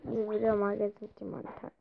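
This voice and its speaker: A woman's voice: medium pitch, completely flat delivery, brisk, vocal fry. A young woman chatting on a casual live stream, distracted and muttering to herself as she reads the chat. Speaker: livestreamer. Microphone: phone or device mic. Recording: dull lo-fi phone stream with faint noise.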